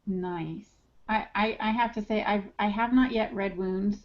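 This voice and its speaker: A woman's voice: mid-range voice, fairly even intonation, measured pace. A woman talking in a casual, chatty style as a podcast co-host. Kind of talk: casual chat. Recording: dull, band-limited audio.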